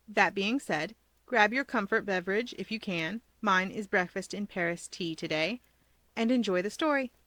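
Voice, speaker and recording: A woman's voice: medium pitch, fairly bright tone, no echo. A woman hosting and narrating fan fiction as scripted storytelling, in a close, dry recording. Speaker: fan fiction reader and host.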